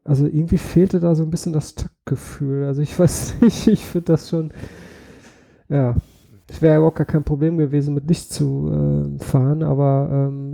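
A man co-hosting a casual podcast, a little hoarse from a slight cold, talking hesitantly with many fillers. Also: on the high side. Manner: hesitant, lots of fillers, quiet laughs. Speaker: podcast co-host. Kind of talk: casual chat.

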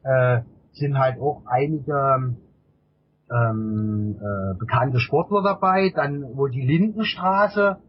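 A middle-aged man with a husky voice answers casually as an interview guest, often repeating words while he searches for phrasing. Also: medium pitch, takes it slowly. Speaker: interview guest, amateur endurance cyclist. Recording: dull, lo-fi interview audio.

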